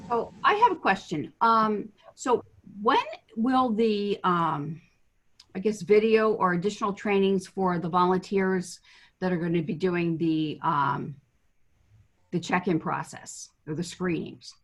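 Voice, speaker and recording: A woman's voice: lowish voice, measured pace, fairly bright tone. A woman on a webinar call asking questions, hesitant and probing.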